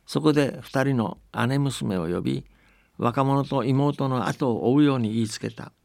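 A man's voice: medium pitch, measured pace, gravelly, darkish tone, dry recording, clean studio sound. A man telling and explaining folktales conversationally, often checking that the listener agrees. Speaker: storyteller and folktale commentator.

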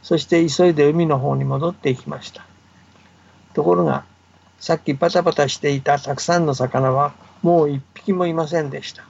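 A man with a gravelly, fairly high voice tells folktales in a relaxed, chatty way and adds his own remarks. He is heard over a remote line, so the sound is muffled and dull. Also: moderate pace. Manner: relaxed and chatty, with asides to the host. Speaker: guest folktale storyteller. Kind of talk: folktale telling in casual talk. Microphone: remote line to the studio.

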